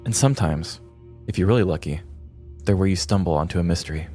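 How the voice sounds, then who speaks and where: A man with a lowish voice co-hosts a podcast. He chats loosely and reads out a personal essay with dry, wry humor. Faint music sits under his voice. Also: fast, mellow. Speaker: podcast co-host. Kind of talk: casual chat and read-aloud essay.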